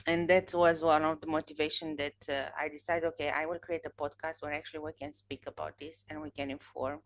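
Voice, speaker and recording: A woman in her thirties, whose native language is Romanian, hosts a podcast and talks with a guest over a webcam video call from a room at home. Her voice sits on the low side, and she speaks earnestly, often restarting her sentences. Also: little pitch movement, fairly quick. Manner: thoughtful, earnest, with frequent restarts. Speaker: podcast host. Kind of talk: casual interview chat.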